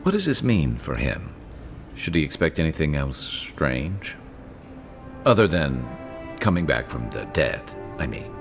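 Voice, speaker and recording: A man playing a gruff, wry and loyal travelling companion in a fantasy video game, talking in a conversational, dryly humorous way. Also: rumbling low, wildly swooping pitch, unhurried, music in the background, heavily acted. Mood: relieved, concerned.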